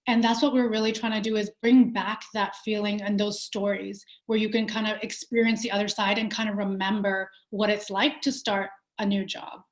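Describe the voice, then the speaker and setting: A woman's voice: medium pitch, level tone, normal speed, few pauses. A young adult woman from Pakistan, a company founder answering interview questions in a thoughtful way, with many fillers and restarts. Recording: roomy echo.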